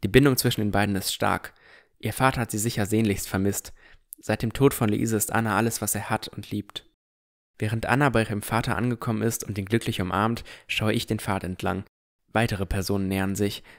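A man's voice: fairly low pitch, fairly even intonation, brisk, creaky. A man narrating a fantasy audio drama in the first person from a script.

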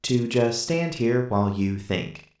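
Man acting a slow, stiff companion android, echoing. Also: medium pitch, animated, a little mellow. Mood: deadpan, obliging. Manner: stiff, formal, cold and robotic. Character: polite, literal-minded male companion android. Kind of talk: ASMR sci-fi roleplay. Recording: roomy echo, distant from mic.

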